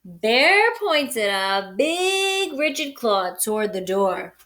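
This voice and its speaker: Slow, very animated woman reading a storybook. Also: fairly high pitch. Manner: addresses young listeners, dramatic word emphasis. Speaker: storybook reader for children. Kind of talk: expressive children's read-aloud.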